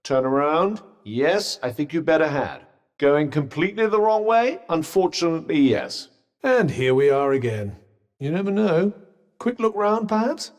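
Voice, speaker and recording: A man's voice: a little high, very animated, moderate pace, big-room sound. An actor voicing a sardonic male magic bracelet in a video game, delivering dry, teasing, understated quips. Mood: wry.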